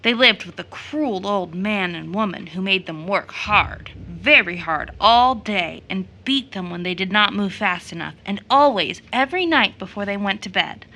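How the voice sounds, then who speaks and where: A bright, clear-edged young woman in an audio drama. She is motherly and playful, with an expressive, tender way of storytelling at a middle pitch. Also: normal speed, hardly any pauses, poor audio.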